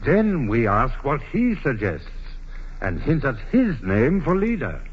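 An actor in a 1940s radio drama playing a cautious, scheming Elizabethan royal counselor, speaking in a deliberate, conspiratorial way. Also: male voice, mid-range voice, wildly swooping pitch, a little hiss or hum. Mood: crafty. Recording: dull 1940s radio broadcast recording.

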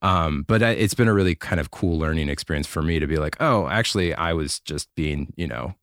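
A man in his thirties speaks as a podcast guest, candid and reflective, often poking fun at himself. His voice is very low for a man. The recording is dry and close. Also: brisk, fairly bright tone, no room sound. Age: 30s. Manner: reflective, candid, self-deprecating. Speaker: podcast guest, keyboard player. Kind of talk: casual chat.

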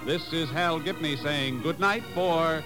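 A man's voice: a little high, a little slow, over music. A man announcing for 1950s network radio, reading scripted credits in a formal, polished manner.

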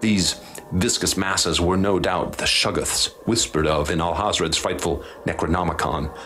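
A haunted professor in a radio drama, his voice low and grave. He speaks formally and with ominous weight, measuring out each phrase. Clear noise and ambience sit behind him. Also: steady tone, normal speed, bright, forceful tone. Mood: grave, measured. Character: grave haunted male professor recounting horrors he witnessed.

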